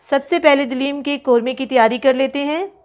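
A slightly high-voiced woman talks through a home cooking lesson in a relaxed, chatty way. She lists quantities carefully, pausing and repeating items as she goes. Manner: chatty, instructive, lists ingredients slowly with repeats. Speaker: cooking channel host. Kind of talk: home cooking tutorial voice-over. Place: home kitchen.

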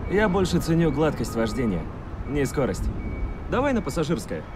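A man's voice: on the high side, very animated. A man acting a confident drifting mentor who gives instructions in a dubbed video game. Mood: confident, instructive. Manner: brisk coaching, instructive. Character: laid-back drifting mentor, confident and smooth-driving man. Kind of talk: video game dialogue, Russian dub. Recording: loud car engine noise behind voice.

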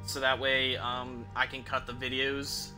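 A man's voice: medium pitch, level tone, unhurried, sharp tone, soft background music, low-quality recording. A young man casually commentating on his game live, rambling and swearing as he thinks aloud. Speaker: game streamer.

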